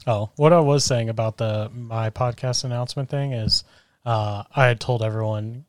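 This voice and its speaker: A man with a deep voice co-hosting a casual podcast at a middle pitch for a man. He rambles loosely, with plenty of fillers, and pokes fun at himself. The sound is dry and close on his mic. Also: fairly even intonation, moderate pace, a little mellow, no echo. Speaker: podcast co-host. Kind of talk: casual chat. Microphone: microphone through a soundboard.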